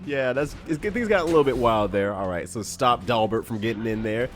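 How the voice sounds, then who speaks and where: A young man giving excited, laugh-filled commentary on a video game with friends, reacting with loud exclamations. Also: mid-range voice, a little fast, a crowd in the background. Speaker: let's player commentator. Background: game music.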